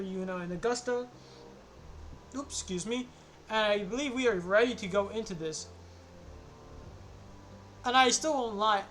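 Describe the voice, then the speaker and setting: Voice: medium pitch, fairly bright tone, slight background noise. A game streamer chatting casually on a livestream. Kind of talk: casual livestream chat with gameplay.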